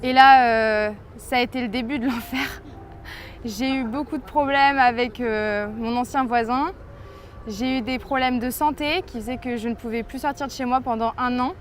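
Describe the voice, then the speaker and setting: A woman's voice: on the high side, steady tone, normal speed, a little hiss or hum. A woman in her twenties from France, giving an earnest, hesitant personal faith testimony to the camera. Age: 20s. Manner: earnest, hesitant, reflective storytelling.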